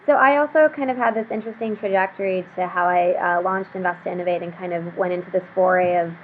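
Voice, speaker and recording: A woman's voice: fairly low pitch, steady tone, quick pace. A young woman from Pakistan with an American accent, a social enterprise founder, telling her story earnestly on a video call, with frequent fillers. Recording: tinny phone-like video call audio with faint noise.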